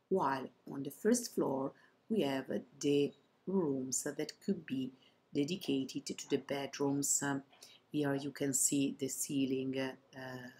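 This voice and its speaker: A woman from Italy with an Italian accent narrates a property tour as an estate agent, in halting non-native English phrasing. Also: extremely low pitch, a little hiss or hum, poor audio.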